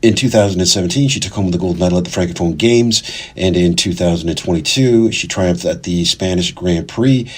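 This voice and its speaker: A man's voice: deep voice, a little fast, slightly rough. A man hosting a podcast interview, talking in a hesitant way with many fillers and self-corrections. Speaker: podcast host. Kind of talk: interview, reading an introduction.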